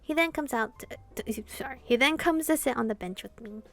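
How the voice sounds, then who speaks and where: A woman with a higher-than-average voice and plenty of vocal fry reads a visual novel aloud. She slips between expressive narration and casual, animated reactions. Game music plays behind her. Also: brisk. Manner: animated reading, reacting informally to the story. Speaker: let's-player reading a visual novel aloud.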